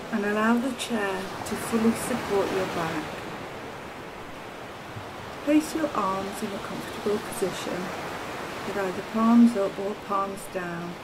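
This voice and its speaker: A woman narrating a guided meditation as a teacher, soft and soothing, with gentle, deliberate phrasing and long pauses. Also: mid-range voice, heavy background noise. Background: ocean waves sound loop.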